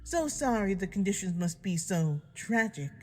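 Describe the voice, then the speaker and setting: A woman's voice: mid-range voice, big pitch swings, normal speed. A woman voicing a sadistic farm pony villain in a fan audio drama, taunting with folksy mock politeness. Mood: mock-sweet menace.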